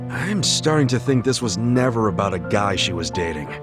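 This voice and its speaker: A brash, animated investigator. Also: male voice, fairly low pitch, normal speed, over music. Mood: thoughtful. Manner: blunt, expressive. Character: brash, big-hearted, foul-mouthed male investigator.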